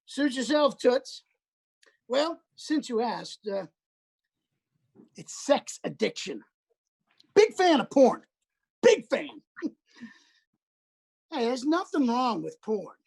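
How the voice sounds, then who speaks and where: A woman voicing a crude, lecherous older man who is a hospital patient, talking with a leering, boastful drawl and breathy chuckles. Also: on the high side, big pitch swings, slow pace, pauses often, darkish tone, exaggerated voice. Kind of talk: livestream play reading.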